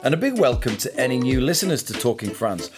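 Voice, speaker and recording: A man's voice: medium pitch, sing-song, fast, over music. A man hosting a news discussion podcast, presenting topics and putting questions to guests. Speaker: podcast host. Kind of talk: scripted introduction and news discussion.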